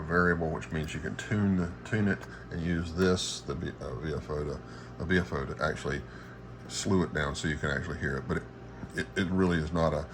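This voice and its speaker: A man giving an informal equipment walkthrough as a hobbyist presenter, speaking haltingly and searching for his words. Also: very deep voice. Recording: clearly noisy amateur recording.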